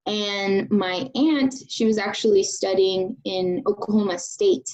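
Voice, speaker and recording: A young woman from Venezuela in her twenties, an engineering graduate student answering interview questions at an unhurried pace with many ums. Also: medium pitch, darkish tone, low-quality recording.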